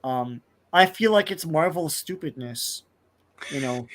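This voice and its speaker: A man's voice: fairly high pitch, animated, a little slow, fairly bright tone. A man in casual podcast chat about comics, rambling with lots of hesitations. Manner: rambling, lots of ums and likes.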